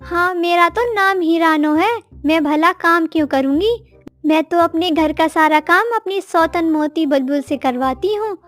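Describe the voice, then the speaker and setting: महिला की आवाज़ में बहुत पतली आवाज़ वाली घमंडी चिड़िया. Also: female voice, very high-pitched, unhurried, soft background music. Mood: smug, boastful. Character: boastful, idle female songbird, a smug gossiping wife. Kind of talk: children's animated story acting.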